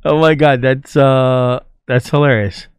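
A man's voice: medium pitch, steady tone, a little slow, clean tone, no echo, clean studio sound. A man with an informal, slangy way of talking, giving casual reaction commentary as a video host. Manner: informal, slangy, often chuckling.

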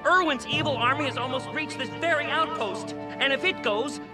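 A man's voice: extremely high pitch, moderate pace. A man playing an earnest, fussy inventor and scientist ally in a video game, speaking in technical, urgent terms. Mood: earnest urgency. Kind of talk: video game cutscene acting. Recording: loud music behind, distant-sounding voice.

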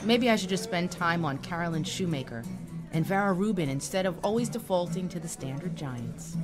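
A woman's voice: lowish voice, expressive, a little fast, music in the background, natural voice. A woman playing a passionate, caring professor in a naturalistic audio drama. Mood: passionate, worried.

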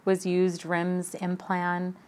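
A woman in her thirties hosts a business interview, her voice sitting a little low as she asks polite, brisk questions. She is recorded indoors in an office on a clip-on mic. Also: monotone, darkish tone, smooth. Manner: polite, scripted intro then brisk questions. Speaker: host. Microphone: lapel mic.

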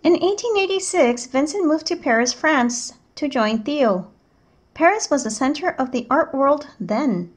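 High-voiced woman reading a children's book aloud. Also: lively intonation, measured pace. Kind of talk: read-aloud narration of a picture book.

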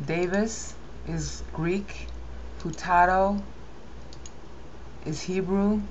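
A woman with a low, dark voice talks through a screen-recorded software tutorial in a rambling, opinionated way, stopping to correct herself as she goes. The sound is muffled and lo-fi, with a faint hiss underneath. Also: steady tone, very slow.

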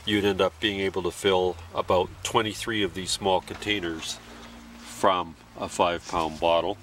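A man with a low voice talks slowly and methodically, walking through each step of a demonstration. His tone is bright and clear, and a faint hiss of background noise sits under the voice-over. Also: fairly even intonation. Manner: plain, methodical explaining with pauses. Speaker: gear demonstrator. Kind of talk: instructional voice-over.

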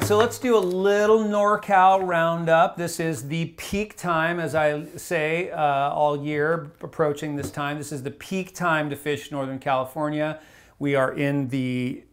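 A man in his forties giving a casual fishing report to camera in a small wood-paneled room, relaxed and rambling with lots of fillers and restarts. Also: on the high side. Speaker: fly shop fishing reporter.

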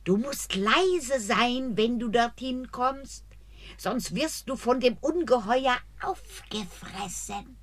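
A high, sing-song old witch from a fairy-tale radio play who speaks slowly, as a woman acts her out. The recording is close and dry. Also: dry recording. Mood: advising. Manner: exclaiming, animated. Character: old forest witch, gruff but helpful. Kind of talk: audio drama acting.

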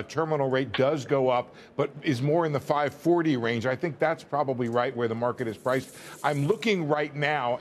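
A middle-aged man commentating in a live TV debate over a remote link, arguing his point in a measured, conversational way. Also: medium pitch. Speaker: news commentator. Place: indoor room.

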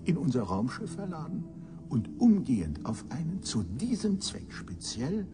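Give the voice of a bitter old male professor, cynical and pompous. His pitch swoops widely as he relishes ornate, sardonic phrases. It is theatrical science fiction radio drama, made for menace and scorn. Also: huge pitch swings, a little slow. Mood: smug, scheming.

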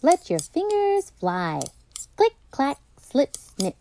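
A woman reading a children's picture book aloud as a storytime host, playful and deliberate, with dramatic emphasis. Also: high-pitched, wildly swooping pitch, dark tone, slight background noise. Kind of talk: expressive children's read-aloud narration.

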